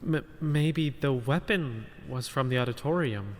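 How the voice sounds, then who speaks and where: A performer playing a timid, apologetic young student sleuth in an animated mystery, halting and stammering. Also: medium pitch, in a large hall, natural voice. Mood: tentative, thinking. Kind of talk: dramatic animated mystery voice acting.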